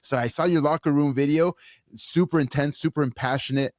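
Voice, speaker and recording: A man's voice: fairly high pitch, steady tone, brisk, a little mellow. An American man hosting a conversational interview podcast, informal and outspoken in the way he talks. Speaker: podcast host.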